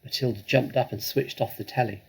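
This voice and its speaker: A man reading a children's storybook aloud as an expressive, dramatic narrator. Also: mid-range voice, brisk, gravelly, slightly rough, low-quality recording.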